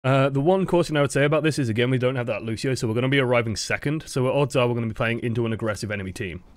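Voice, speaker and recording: A man's voice: mid-range voice, rapid-fire, no echo. A man with a dry voice, giving casual, explanatory coaching commentary over gameplay footage. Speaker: game coach.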